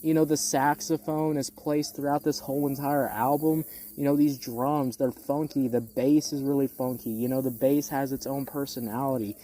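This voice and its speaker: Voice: medium pitch, fairly even intonation, moderate pace, few pauses, dark tone, slight background noise. A music critic giving a casual, opinionated album review, speaking bluntly and repeating phrases for emphasis. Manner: casual swearing.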